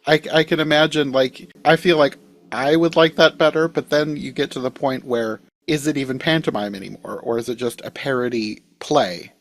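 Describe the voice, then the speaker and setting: A man's voice: mid-range voice, moderate pace. A man hosting a podcast, chatting in an informal, easygoing and amused way. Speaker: podcast host. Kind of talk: casual podcast chat.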